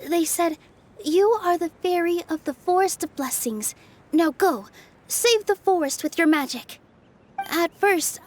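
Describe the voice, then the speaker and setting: A woman playing a gentle, earnest young dancer turned forest fairy in a video game, speaking politely. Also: very high-pitched. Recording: music playing behind the voice.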